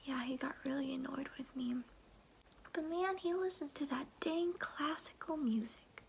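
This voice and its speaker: A woman's voice: sits high, moderate pace, a little hiss or hum. A young woman voicing a kindly, slightly clueless old grandmother in a whispered, soothing ASMR roleplay, fussing with folksy endearments, close to the microphone. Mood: chatty, puzzled.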